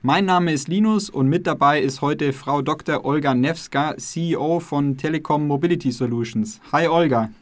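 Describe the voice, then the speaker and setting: A young man hosts a podcast interview. His voice is slightly higher than most men's. He asks friendly questions that wander, with plenty of fillers and restarts along the way. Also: normal speed, few pauses. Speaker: podcast host.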